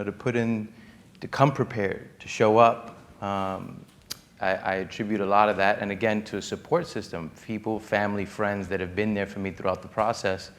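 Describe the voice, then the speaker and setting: Low-pitched New man reflecting. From Lower East Side of New York. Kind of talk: conversational panel talk, personal reflection.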